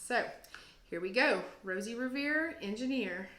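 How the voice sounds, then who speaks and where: A woman teacher reading a children's storybook aloud with expressive, deliberate storytelling phrasing. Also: fairly high pitch. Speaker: teacher, guest read-aloud host. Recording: roomy echo, music behind. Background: storybook app music.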